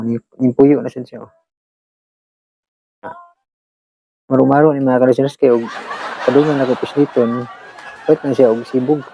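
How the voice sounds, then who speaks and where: A Filipino vlog host with a Visayan accent chatting casually to listeners, rambling in a halting, repetitive and apologetic way. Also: medium pitch, warm tone, a little hiss or hum, rough recording. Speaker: vlog host who shares radio dramas.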